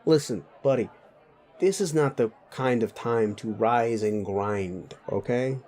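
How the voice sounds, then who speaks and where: A man's voice: mid-range voice, slow, a little mellow, a faint crowd, natural voice. A man playing a sleazy, bullying, foul-mouthed werewolf boss in a roleplay audio drama, blunt and needling. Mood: cocky, teasing. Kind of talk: immersive roleplay audio drama addressed to listener.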